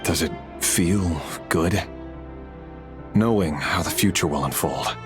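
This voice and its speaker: An actor playing a sardonic, uneasy dynasty enforcer in a video game, wry and insinuating, justifying himself theatrically. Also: male voice, low-pitched, slow pace. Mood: sly. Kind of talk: dramatic video game voice acting. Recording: background music under the voice.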